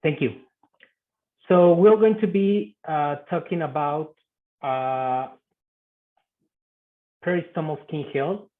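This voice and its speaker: A man from Mexico speaking English with a Mexican Spanish accent, giving an online medical talk in a halting way with many fillers and repeated words. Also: on the high side. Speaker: guest speaker, physician. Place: video call. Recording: tinny phone-like video call audio.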